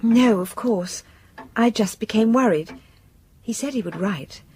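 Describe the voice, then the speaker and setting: Woman as soft-toned, slow anxious fiancée. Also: a little high, slight background noise, lightly acted. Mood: anxious, restrained. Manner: restrained, genteel, hesitant. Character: polite, anxious young English fiancée. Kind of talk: period audio drama acting.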